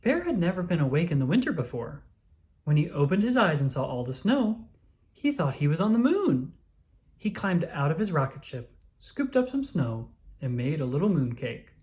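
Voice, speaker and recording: A storyteller reading a children's picture book aloud with expressive narration. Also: medium pitch, moderate pace.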